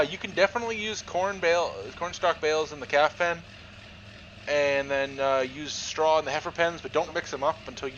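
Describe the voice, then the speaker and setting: Male streamer, Southern Ontario Canadian accent, bright tone, joking and rambling, slightly dull mic with faint hiss. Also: fairly high pitch. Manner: chatty, joking, trails off and restarts. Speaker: game streamer. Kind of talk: casual live-stream chat while playing.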